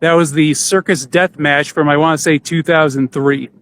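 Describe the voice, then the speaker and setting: A man with a somewhat high voice hosts a podcast. He talks casually, in an easy back-and-forth conversational style. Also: steady tone, normal speed, a bit bright. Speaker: podcast host.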